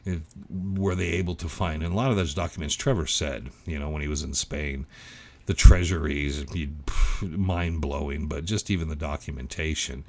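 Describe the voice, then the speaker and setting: A man's voice: very deep voice, fairly even intonation, moderate pace, darkish tone. A middle-aged man hosting a livestream, chatting casually and reading out viewer comments in a rambling, self-interrupting way. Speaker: livestream host.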